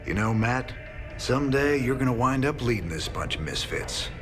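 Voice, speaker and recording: A man's voice: medium pitch, expressive, normal speed, music in the background, natural voice. An actor playing a weary, plainspoken male rebel commander with a laid-back drawl.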